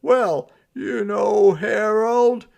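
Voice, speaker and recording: A man's voice: sits high, extremely slow, gravelly, a little mellow, voice pushed up, heavily acted. A man voicing a stubborn, agitated old Santa Claus in an emphatic, dramatic way for a storybook read-aloud. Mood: distressed.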